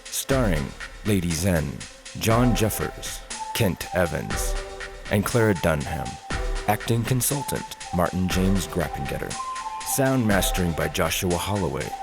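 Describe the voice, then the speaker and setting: A man's voice: deep voice, sing-song, a little slow, gravelly, music in the background. A man reading a drama series title and credits as a scripted announcer. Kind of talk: scripted narration.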